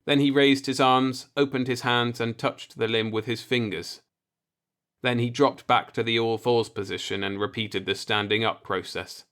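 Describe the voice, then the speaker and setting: A male audiobook narrator with a medium-pitched voice reads scripted fiction. He delivers descriptive science fiction prose as a storyteller. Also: fairly even intonation, normal speed, fairly bright tone.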